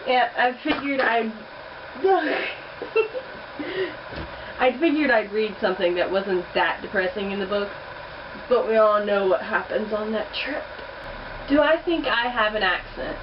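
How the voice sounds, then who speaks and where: A woman's voice: mid-range voice, slow. Chatty young woman, distant muffled heater hum. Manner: chatty and blunt, swears casually. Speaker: vlogger. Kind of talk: casual accent challenge vlog. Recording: distant muffled home recording with heater noise.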